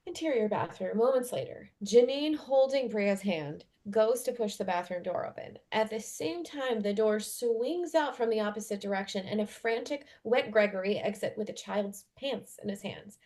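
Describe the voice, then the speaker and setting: A woman with an average-pitched voice serves as the narrator of a script. She reads scene headings and stage directions in a scripted narration style. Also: lively intonation, normal speed, few pauses, darkish tone.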